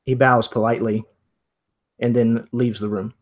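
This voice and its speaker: A man narrates a tabletop mystery as its game master, setting scenes and prompting players. His voice is mid-pitched with a slightly husky edge. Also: little pitch movement, normal speed.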